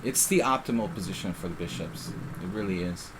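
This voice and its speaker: A man's voice: sits low, brisk, noisy background. A middle-aged man with a faint Philadelphia accent, casually commenting on his own live chess game in a rambling, self-interrupting way. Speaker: chess streamer.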